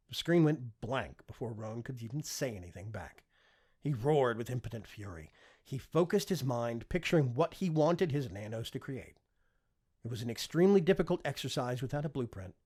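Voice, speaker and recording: A man's voice: medium pitch, husky. A man narrating scripted fiction as an audiobook reader.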